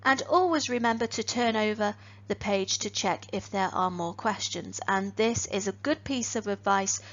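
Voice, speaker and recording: A woman teaching phrasal verbs in an online lesson. She speaks in a clear, deliberate way and lifts each new phrasal verb. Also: mid-range voice, a bit bright, lo-fi sound. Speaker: English teacher.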